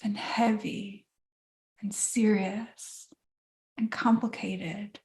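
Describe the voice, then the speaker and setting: A woman co-hosting a podcast, talking in a slow, thoughtful, reflective way and searching for words. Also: medium pitch, little pitch movement, gravelly, darkish tone. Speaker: podcast co-host and coach. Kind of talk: reflective casual chat.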